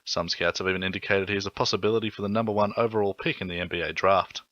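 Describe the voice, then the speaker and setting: An Australian man rattles through a scripted radio sports bulletin. His voice is fairly low but sharp and forceful, in a dry, slightly dull recording. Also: fairly even intonation, very fast, no room sound.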